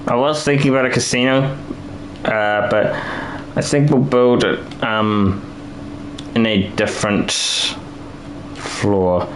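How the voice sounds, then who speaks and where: A man chats loosely through a game stream, thinking aloud and restarting his sentences as he goes. His voice sits a little low and is growing hoarse from talking too long. A faint hiss runs under the recording. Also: takes it slowly, low-quality recording. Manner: rambling, thinking aloud with many restarts and fillers. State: losing his voice. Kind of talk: casual live-stream talk while building.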